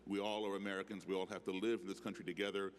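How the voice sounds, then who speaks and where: A man's voice: deep voice, flat delivery, racing. A middle-aged man speaking emphatically as a panelist, handheld mic on a stage in a large hall. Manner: emphatic, preacherly cadence. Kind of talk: panel discussion. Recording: hall reverb, faint background noise.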